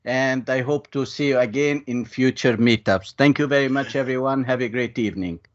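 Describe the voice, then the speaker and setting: A man's voice: medium pitch, fairly quick. A man, not a native English speaker, politely thanking everyone after presenting. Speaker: guest presenter. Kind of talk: polite thank-yous on a call. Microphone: video call. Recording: dull lo-fi call audio.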